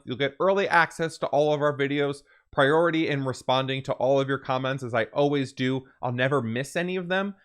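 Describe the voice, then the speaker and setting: A man's voice: fairly high pitch, a bit bright. A man hosting a gaming reaction video, talking casually in an enthusiastic, emphatic way. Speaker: host of a gaming reaction channel.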